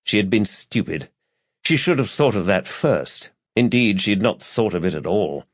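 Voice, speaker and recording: A man's voice: on the low side, very animated, moderate pace, no room sound. A man narrating a crime thriller audiobook in a scripted, dramatic storytelling style. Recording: slightly dull, lo-fi audio.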